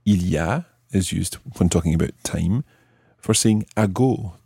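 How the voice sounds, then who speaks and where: A man hosting a language lesson, explaining grammar step by step with deliberate, teacherly phrasing and switching into French examples. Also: low-pitched, creaky, warm tone, husky, no room sound, clean studio sound.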